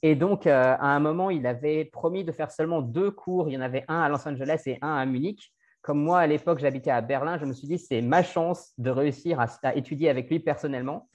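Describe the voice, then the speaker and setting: Higher-voiced man, conversational. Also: fast, dull audio. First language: French. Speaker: podcast host and French language coach. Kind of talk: conversational interview.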